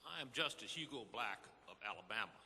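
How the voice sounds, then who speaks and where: Earnest Supreme Court justice played by a man: emphatic stage reading, sharp projected tone, reverberant hall. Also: fairly low pitch, expressive, fast, slight background noise, full character voice. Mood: earnest, formal. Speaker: reader in a readers theater re-enactment. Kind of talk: scripted dramatic reading to an audience. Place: large hall before an audience. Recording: echoey hall with long reverb.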